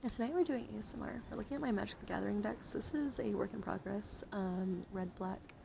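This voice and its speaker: Whispery woman streaming ASMR, creaky voice. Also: mid-range voice, fast, noisy background. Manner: soft, breathy, often drops into whispers. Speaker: livestream host. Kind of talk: ASMR livestream, whispering and soft talk to chat.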